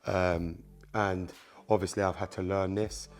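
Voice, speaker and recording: Low-voiced man, Spanish native speaker. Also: flat delivery, unhurried. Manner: casual, repeats filler words like "um" and "obviously"; addresses viewers directly as "you guys" while working. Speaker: car mechanic channel host. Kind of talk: hands-on repair tutorial.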